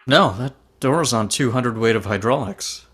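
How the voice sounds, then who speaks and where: Lively, blunt male passenger played by a man. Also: medium pitch, normal speed, breathy edge. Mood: dismissive. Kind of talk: amateur online table read.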